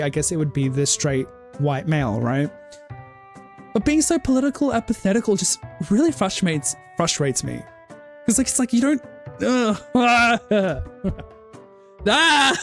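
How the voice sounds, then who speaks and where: A woman game streamer on a casual livestream, ranting with passion, leaning on "like" and cutting herself off to restart. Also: lowish voice. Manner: impassioned ranting, heavy use of "like"; self-interrupting restarts.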